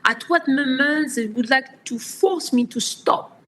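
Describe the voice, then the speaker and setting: Slow, halting woman in her fifties. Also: high-pitched, fairly bright tone, a little hiss or hum. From France. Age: 50s. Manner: slow, halting speech with frequent fillers and restarts. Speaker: interview guest. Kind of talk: personal storytelling interview.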